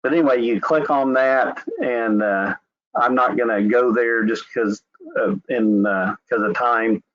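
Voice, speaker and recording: A man's voice: medium pitch, unhurried. A man from Oklahoma with an Oklahoma accent, walking viewers through a website during a webinar in a loose, conversational way with frequent "uh" and "anyway.". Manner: relaxed, conversational, with filler "uh" and "anyway". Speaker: insurance company representative. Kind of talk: screen-share webinar walkthrough. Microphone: video call. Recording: dull lo-fi web call audio.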